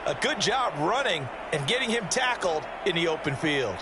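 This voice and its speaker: A man's voice: a little high, very animated, moderate pace, heavy background noise. A man playing an opinionated American football color analyst in a video game, explaining plays in a conversational broadcast style. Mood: analytical. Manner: explanatory, posing rhetorical questions.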